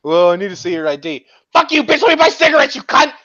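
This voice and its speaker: A man's voice: extremely high pitch, very animated, quick pace, bright, forceful tone, dry recording, lo-fi sound. A man in his late twenties, swearing and rambling in casual podcast chat. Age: 20s. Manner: crude, swears a lot, rambling. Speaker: podcast co-host.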